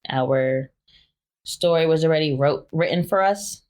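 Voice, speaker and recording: A woman's voice: low voice, a little slow. Hesitant young woman, filler-heavy speech. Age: 20s. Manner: soft-spoken, many fillers, hesitant. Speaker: guest. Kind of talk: candid personal interview.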